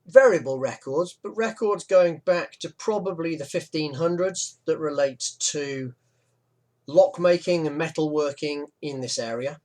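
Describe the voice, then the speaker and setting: A man, his voice sitting a little high for a man. He narrates over maps in a relaxed, conversational way, with the odd "um" and aside thrown in. It sounds like a home voice-over for an informal history video. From West Midlands, England. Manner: chatty, relaxed, with fillers and asides.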